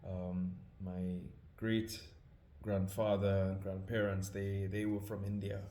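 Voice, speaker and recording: A Trinidadian man with a fairly low voice talks casually and humbly, stopping often to hunt for words. The recording carries a noticeable room echo. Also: level tone, takes it slowly, dark tone, a little hiss or hum. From Trinidad and Tobago. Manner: hesitant, many ums, humble and apologetic. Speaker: scripture reader. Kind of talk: casual spoken introduction.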